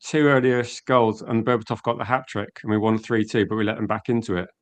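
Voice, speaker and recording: A man's voice: fairly low pitch, fairly even intonation, brisk. A man chatting casually as the host of a football fan call-in. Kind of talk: casual chat.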